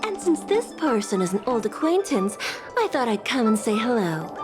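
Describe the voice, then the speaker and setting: A woman's voice: fairly high pitch, big pitch swings, normal speed. A woman voicing a polite, poised court secretary in a video game cutscene, speaking in a courteous and formal way. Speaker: palace secretary. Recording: game cutscene over music. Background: background music.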